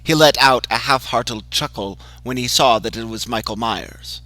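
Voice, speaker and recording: A man giving a measured, dramatic reading of a horror story. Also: mid-range voice, sharp tone, no room sound. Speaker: narrator. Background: music.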